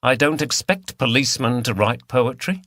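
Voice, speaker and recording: A man's voice: mid-range voice, expressive, moderate pace, bright, forceful tone, dry recording, studio quality. A man voicing an earnest, outspoken woman activist in an audiobook, with a frank manner. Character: earnest, feisty, outspoken female countryside activist. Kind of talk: audiobook character dialogue.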